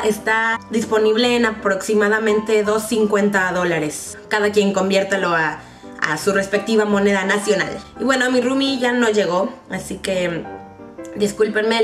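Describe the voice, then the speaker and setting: A young woman with a Mexican accent, chatting to camera in a playful, expressive way as she gives advice. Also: mid-range voice, normal speed. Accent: Mexican Spanish. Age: young adult. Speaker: vlogger. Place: small bedroom, indoors. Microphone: camera mic at a short distance. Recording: roomy bedroom, distant camera mic, music.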